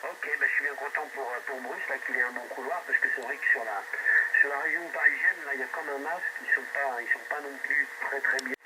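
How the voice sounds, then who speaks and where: A man's voice: mid-range voice, steady tone, fast. A man chatting casually as a radio operator over a two-way radio, easygoing and often repeating his words. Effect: two-way radio transmission. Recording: very noisy crackling radio transmission.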